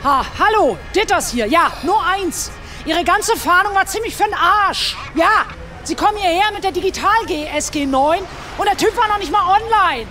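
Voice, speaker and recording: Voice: extremely high pitch, expressive, moderate pace, sharp tone, slight background noise, exaggerated voice. An actor playing an irritable police officer in a radio crime drama, blunt and grumbling. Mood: irritated, blunt. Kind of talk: naturalistic German radio crime drama acting.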